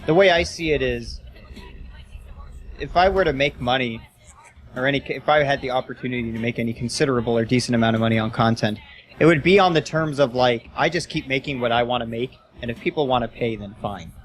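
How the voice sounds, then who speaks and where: A man with an average-pitched voice chats as a podcast co-host. He talks informally, thinking aloud and circling back on his phrases. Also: fast. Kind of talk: casual podcast chat.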